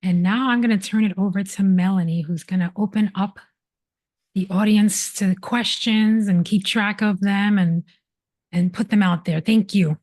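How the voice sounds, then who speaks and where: A woman's voice: mid-range voice, fairly even intonation, normal speed, a little mellow. A woman hosting a live online panel, introducing each guest and praising them effusively. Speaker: host.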